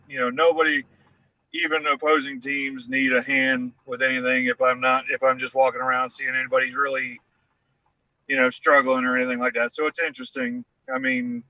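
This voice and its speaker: A man's voice: mid-range voice, fairly even intonation, a little fast, sharp tone, no echo, poor audio. A male racing crew member speaking modestly over a phone video call. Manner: modest, easygoing.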